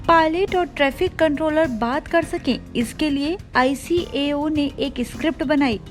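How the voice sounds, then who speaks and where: A woman with a high-pitched voice presents a scripted explainer as a video host. She speaks to the viewers in a presenter's manner. Soft music plays behind her. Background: background music.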